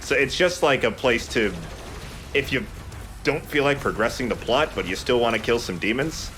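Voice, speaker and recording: A man's voice: medium pitch, lively intonation, moderate pace, pushed and bright, a little hiss or hum. A man giving casual commentary over video game footage as a let's play commentator.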